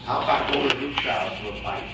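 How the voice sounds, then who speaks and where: A man's voice: mid-range voice, steady tone, brisk, close to an everyday voice. A man playing a boxer's manager, fatherly but evasive, in a staged play reading. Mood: proud. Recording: very echoey room, distant mic, clear noise.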